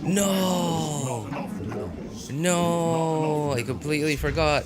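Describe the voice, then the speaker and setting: Casual male streamer, game music behind. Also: on the high side. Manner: rambling, halting, searching for words. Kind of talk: casual livestream chat while gaming. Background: game music and sound.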